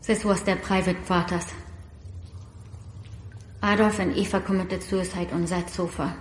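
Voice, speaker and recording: A woman's voice: fairly low pitch, flat delivery, unhurried, a little hiss or hum, natural voice. An actress playing a blunt, matter-of-fact Hungarian-born woman operative guiding people around in a radio drama.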